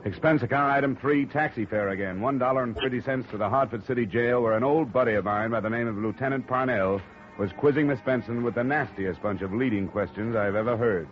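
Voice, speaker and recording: A man's voice: mid-range voice, brisk, faint music behind, lightly acted. An actor playing a dry, wisecracking male insurance investigator who narrates a 1950s radio drama. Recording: dull old radio broadcast.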